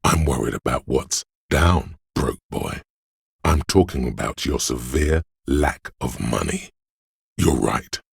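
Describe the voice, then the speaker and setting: A man playing a swaggering, money-obsessed, suave inner voice in a video game, gravelly and husky, with a cocky, theatrical delivery. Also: a bass voice, lively intonation, slow, dry recording, clean studio sound, heavily acted. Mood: smug, scornful. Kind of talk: video game voice acting, dramatic narration.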